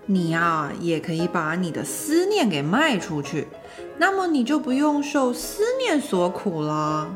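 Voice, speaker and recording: A woman's voice: lowish voice, very animated, measured pace, music in the background. A woman voicing a chatty little dwarf guide in a children's audio storybook, explaining things in a knowing, teasing way. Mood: explaining, persuasive.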